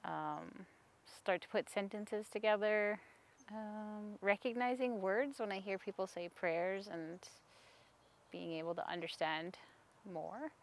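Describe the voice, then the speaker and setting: A woman in her thirties talks in a hushed, halting way, pausing often with ums as she answers interview questions. Her voice is giving out and sits at a middling pitch. She is recorded outdoors in a sunny park. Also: fairly even intonation. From Canada. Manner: soft-spoken, hesitant with frequent ums. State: losing her voice. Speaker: staff member interviewee.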